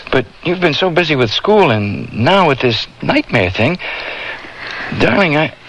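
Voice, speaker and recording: An actor playing a concerned but dismissive husband in a radio drama, pleading and a little patronizing. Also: male voice, on the high side, sing-song, unhurried, a bit bright, heavily acted, poor audio. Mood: hurt, pleading.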